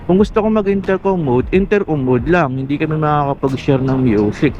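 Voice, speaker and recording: A man's voice: fairly high pitch, a little fast, a little mellow, slight background noise. A Filipino man giving a casual explainer vlog, talking colloquially and directly to the viewer. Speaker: motovlogger. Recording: muffled lo-fi mic, music underneath.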